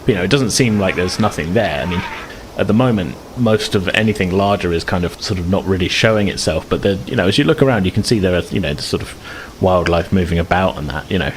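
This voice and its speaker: A low-pitched man runs a tabletop role-playing game in a casual way. He feels his way through sentences, hesitating and thinking aloud. There is clear noise and room ambience behind him. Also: quick pace, few pauses, poor audio. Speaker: game master.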